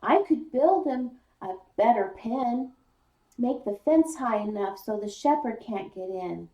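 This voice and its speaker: A woman's voice: on the high side, lively intonation, measured pace, dark tone, dull audio. A woman voicing an earnest, stubborn eleven-year-old country boy who pleads in folksy phrasing in a children's story. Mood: pleading. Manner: pleading, rural colloquial phrasing. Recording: roomy echo, distant mic.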